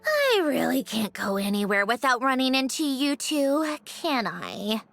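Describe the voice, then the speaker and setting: A woman's voice: high voice, very animated, unhurried, vocal fry, a bit bright, full character voice. A woman voicing a haughty, prickly young female inventor in an anime-style video game, imperious and theatrical. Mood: wry.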